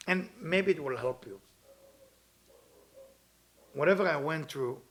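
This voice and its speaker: Man in his 50s, slightly high voice, earnest teacher who repeats himself. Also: takes it slowly, fairly bright tone. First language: Hebrew. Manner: earnest, repeats phrases, non-native grammar. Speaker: kabbalah teacher. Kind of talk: weekly spiritual talk.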